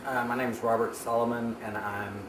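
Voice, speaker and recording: A man in his early thirties speaks slowly and haltingly, pausing on ums as he thinks. His mid-range voice sounds as if he sits some way from the microphone in an echoey room, with a clear hiss of noise behind him. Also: mid-range voice, flat delivery, a bit bright. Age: 30s. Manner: hesitant, many ums and restarts. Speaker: interviewee. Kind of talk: reflective interview answers. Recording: roomy, distant mic, background noise.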